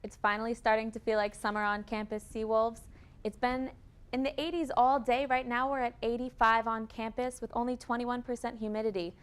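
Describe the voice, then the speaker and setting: A woman's voice: on the high side, clean tone. A young adult woman working as a student news anchor, delivering scripted campus news.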